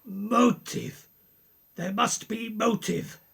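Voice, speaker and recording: Husky, creaky professor played by a woman. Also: medium pitch, very slow, fairly bright tone, full character voice. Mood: puzzled, probing. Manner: formal, questioning. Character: concerned, courteous professor, a sceptical family friend. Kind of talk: radio play acting.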